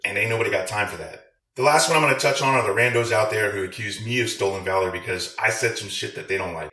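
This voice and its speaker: A man with a fairly low, forceful, bright voice rants straight at his viewers. He swears freely and leans on crude sarcasm, and the room adds a clear echo around his words. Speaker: video creator, military veteran. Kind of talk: profane rant to viewers.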